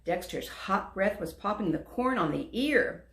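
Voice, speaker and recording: A woman reading a children's story aloud as a storyteller, deliberate and expressive. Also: medium pitch. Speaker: volunteer storyteller. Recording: echoey room.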